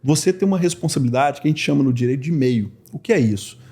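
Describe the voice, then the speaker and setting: A man's voice: mid-range voice, animated, fast talker, warm tone, breathy edge. A man in his forties from Belo Horizonte with a Minas Gerais accent, a lawyer guest talking earnestly and reflectively in an interview. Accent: Minas Gerais Portuguese. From Belo Horizonte, Brazil. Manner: earnest, reflective, with many fillers. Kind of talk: relaxed interview conversation.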